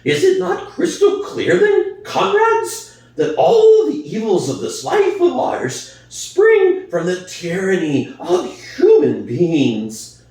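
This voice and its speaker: A man's voice: very high voice, huge pitch swings, takes it slowly, darkish tone, full character voice. A man voicing an old, wise boar who gives a rousing revolutionary speech in a dramatic book reading. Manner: impassioned speechmaking, rhetorical questions, swelling emphasis. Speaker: reader. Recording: very echoey room, far from mic.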